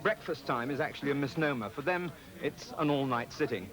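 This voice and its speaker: Male British TV reporter, measured scripted narration, mid-pitched voice. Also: a crowd in the background.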